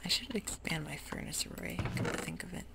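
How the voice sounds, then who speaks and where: Soft close whisper with a gravelly edge. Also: medium pitch, wildly swooping pitch, a little fast. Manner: soft mostly unvoiced whisper; hesitant, meandering, with many fillers. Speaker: gameplay ASMR host. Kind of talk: whispered ASMR game tour. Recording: audible hiss with keyboard clicks.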